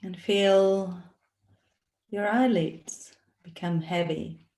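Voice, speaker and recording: A woman leading a guided meditation as a yoga nidra teacher, speaking in a soothing, instructional manner with long pauses. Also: mid-range voice, steady tone, a little mellow. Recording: roomy, echoey sound.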